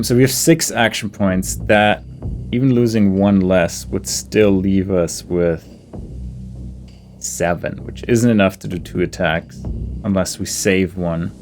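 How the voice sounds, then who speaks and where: A man's voice: fairly low pitch, slow, pauses often, darkish tone, soft background music. A man doing casual gameplay commentary, thinking aloud in a hesitant, musing way that trails off mid-thought. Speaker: video game player and commentator.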